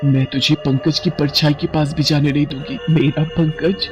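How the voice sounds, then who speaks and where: A man voicing a vengeful young woman turned witch in an animated story, threatening and emphatic. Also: a little high, moderate pace, music in the background, close to an everyday voice. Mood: furious, threatening.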